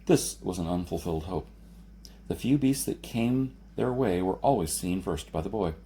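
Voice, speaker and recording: Mellow low-voiced man reading, noisy recording. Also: animated, normal speed. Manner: reads with occasional stumbles and self-corrections. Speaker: audiobook reader. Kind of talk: scripted narration of a science fiction novel.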